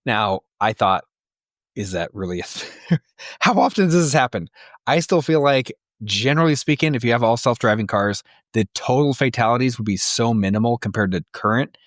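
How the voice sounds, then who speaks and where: A man talks as a podcast co-host in loose, casual banter. He is blunt and emphatic, swearing freely as he gives his opinions. His voice sits at a middling pitch, with a very dry sound free of room echo. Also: lively intonation, moderate pace, a bit bright.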